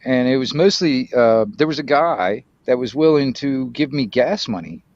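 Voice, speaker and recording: Middle-aged American male, Floridian, casual rambling talker, halting and slangy, average pitch, muffled lo-fi audio. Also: lively intonation, darkish tone, no echo. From Florida, United States. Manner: rambling, hesitant storytelling with slangy, mildly profane speech. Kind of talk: casual chat.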